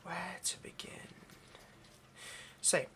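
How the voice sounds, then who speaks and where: A man playing a nervous, obsessive vampire lab technician in an intimate ASMR roleplay, with hesitant, stammering, overly polite delivery. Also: extremely slow. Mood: secretive, earnest.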